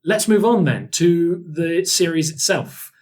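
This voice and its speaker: A man's voice: fairly high pitch, measured pace, darkish tone. A man hosting a casual look-back podcast chat, often circling back over his words in a hesitant way. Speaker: podcast host.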